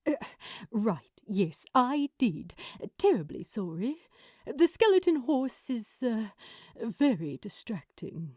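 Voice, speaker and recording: Woman as a fussy, high-pitched male angel. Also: very animated, slow, a little mellow, no echo, clean studio sound, exaggerated voice. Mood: apologetic. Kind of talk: multi-voice fan fiction audio drama.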